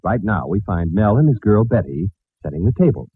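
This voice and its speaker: A man's voice: lowish voice, big pitch swings, fairly quick, husky, no echo. A man announcing a 1940s radio show in a projected, formal showman style, reading scripted narration. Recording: scratchy, tinny old-time radio recording.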